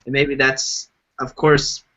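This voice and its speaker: A man's voice: mid-range voice, little pitch movement, takes it slowly, sharp tone. A man hosting a casual discussion, framing questions hesitantly with many ums. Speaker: host. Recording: dull lo-fi live stream audio.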